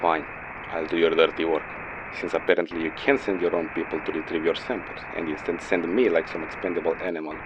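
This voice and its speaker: A jaded male mercenary, acted by a man with an extremely low voice. He talks bluntly and sarcastically, like a hired gun grumbling through a game audio log. The sound is dull and lo-fi with clear noise behind it. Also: level tone, quick pace, lightly acted. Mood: irritated, grudging. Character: jaded, sardonic male mercenary codenamed Phantom. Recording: dull lo-fi audio, noisy vehicle-like background.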